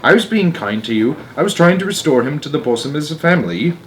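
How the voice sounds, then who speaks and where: Man voicing a calm, sly old grandmother, lively intonation. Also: a little high, fast talker, slight background noise, voice pushed up, rough recording. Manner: calm, unruffled, matter-of-fact.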